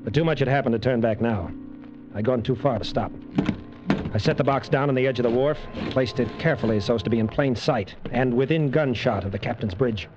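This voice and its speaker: A man's voice: mid-range voice, fairly quick, a little mellow, natural voice. An actor playing a male adventurer in a 1940s radio drama, with a dramatic delivery. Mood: tense, brooding. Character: adventurer narrator, gripped by dread, teasing with women. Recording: vintage lo-fi radio, music behind.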